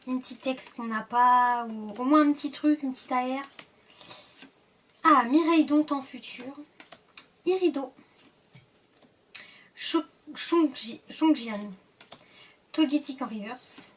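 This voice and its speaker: A woman's voice: high-pitched, slow, pauses often, distant mic, slight background noise. A woman with a cold, casually opening card packs and reading the card names aloud, often hesitating and trailing off. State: stuffy from a cold. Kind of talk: casual pack-opening commentary.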